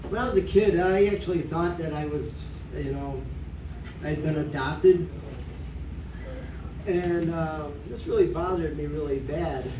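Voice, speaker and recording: A man in his sixties doing a stand-up comedy set, rambling and halting, with lots of fillers. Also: a little high, recorded from a distance. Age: 60s. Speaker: stand-up comic. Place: comedy club stage, audience laughing. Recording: echoey club room recorded from afar with noise.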